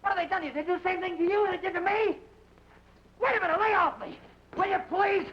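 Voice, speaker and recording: An actor playing a hot-tempered, desperate young brother, short and tough, pleading and shouting frantically in an old radio drama. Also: very high voice, fast talker. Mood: frantic, defiant. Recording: muffled, noisy old radio recording.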